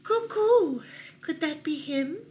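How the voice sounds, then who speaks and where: Woman as a high, cooing mourning dove. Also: expressive, takes it slowly, a little mellow. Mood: helpful, cooing. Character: gentle, helpful mourning dove, cooing directions.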